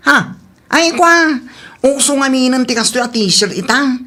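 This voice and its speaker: A man's voice: very high voice, animated, a little slow, bright, forceful tone, full character voice. An actor playing a shifty, cheerful village man in a radio comedy drama, talking evasively with nervous laughter. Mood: breezy, evasive.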